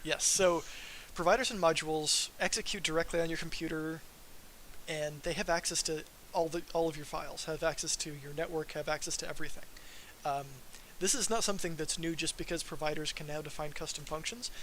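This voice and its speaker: Male software presenter, forceful bright tone, informal and self-deprecating, faint hiss on the recording. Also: a little high, fairly quick, rough recording. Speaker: software developer presenting a live demo. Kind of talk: informal technical livestream presentation.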